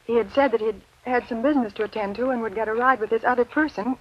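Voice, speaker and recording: High-voiced genteel widow in vintage radio thriller, played by actress. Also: female voice, steady tone, fast talker, lightly acted. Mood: composed, demure. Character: genteel, coolly calculating widow narrating her crime. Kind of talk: 1940s-50s radio drama acting. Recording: dull vintage radio recording, music behind.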